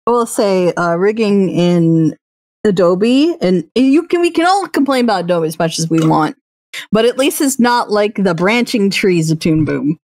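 Woman voice actor on an online panel, super lively pitch swings, dry close sound, giggly. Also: moderate pace, no echo. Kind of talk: casual live panel chat. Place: online voice call.

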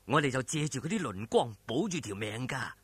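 Male reader as bold, caring young swordsman, Hong Kong Cantonese, earnest storybook hero with a husky edge. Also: mid-range voice, very animated, a little fast, no room sound, pitched below the performer's own voice. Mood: calm, relieved.

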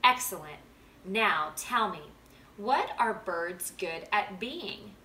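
Woman playing wise kindly grandpa, storybook, patient, encouraging, clear emphasis, bright, echoey room. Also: mid-range voice, animated, slow pace, distant mic. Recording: roomy home recording with background noise.

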